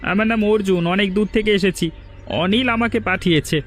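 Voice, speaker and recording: Helpful village stranger for cartoon, acted by a man: modest, courteous, high-pitched, over light music. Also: moderate pace, natural voice. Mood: polite. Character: kind, modest village rescuer, helpful and polite. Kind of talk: cartoon story drama.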